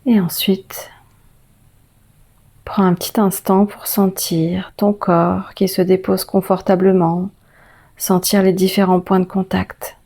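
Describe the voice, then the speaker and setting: A woman guiding a yoga nidra meditation as the teacher, speaking in a gentle, soothing, unhurried way with long pauses. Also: medium pitch, level tone. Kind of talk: guided meditation.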